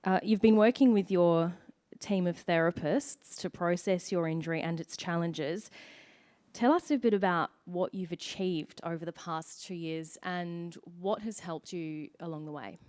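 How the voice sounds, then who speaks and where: A woman's voice: lowish voice, a little slow, smooth. A woman with an Australian accent hosting a stage event, introducing and interviewing a guest in an encouraging manner. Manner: warm, encouraging, upbeat. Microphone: microphone.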